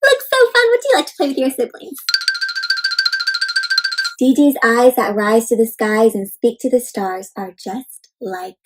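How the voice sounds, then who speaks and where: High-pitched woman reading to children. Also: a little slow, pauses often, faint music behind. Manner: animated, child-directed, exclaiming and asking questions. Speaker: host reading a picture book aloud to children. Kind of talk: interactive children's read-aloud with questions and explanations.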